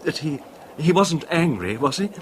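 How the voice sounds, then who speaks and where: A nervous, deferential little man in a vintage radio horror play. He speaks softly and haltingly, apologising as he goes, with a voice that rises and dips widely. The old recording carries faint noise. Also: fairly high pitch, sing-song. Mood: timid, apologetic. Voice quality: soft-spoken, sometimes barely audible. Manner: tentative, deferential, halting, overly polite. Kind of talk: 1970s radio horror drama acting.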